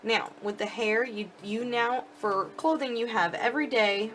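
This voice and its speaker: A woman's voice: on the high side, normal speed, a bit bright, a little hiss or hum. A woman giving casual commentary on a game demo, thinking aloud informally as she goes. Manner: informal, thinking aloud while clicking through. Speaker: gaming video host.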